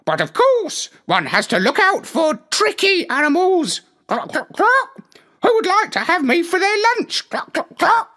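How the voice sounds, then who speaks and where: A man's voice: very high voice, huge pitch swings, a little slow, sharp tone, pitched above the performer's own voice, exaggerated voice. A man voicing a prim, fussy female hen who clucks as she chats, for a children's storybook. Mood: wary.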